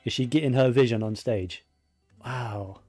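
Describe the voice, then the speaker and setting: A man commentating casually on a video game in short, informal reactions. His pace is slow and his pitch ordinary, over faint game music. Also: a little mellow, dry recording. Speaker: gaming streamer.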